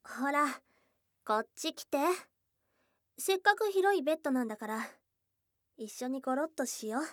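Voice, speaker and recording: Woman playing a young goblin girl for asmr roleplay, high voice, blunt boyish talk, teasing n shy, super dry close mic. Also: lively intonation, normal speed, no room sound. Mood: soft, inviting. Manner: blunt tomboyish speech, teasing and bashful. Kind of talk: intimate ASMR situation voice drama acting. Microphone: close dry studio microphone.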